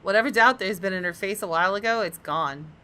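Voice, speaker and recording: A woman's voice: mid-range voice, fast talker, bright, forceful tone, smooth. A woman gamer reading the first-person narration of a visual novel aloud. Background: game music.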